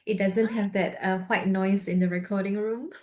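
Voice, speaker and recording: A woman hosting a podcast interview over a video call, speaking gently and softly with occasional nervous laughs. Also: mid-range voice, steady tone, normal speed, noisy background. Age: adult with a young son. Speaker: podcast host. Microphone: video call recording.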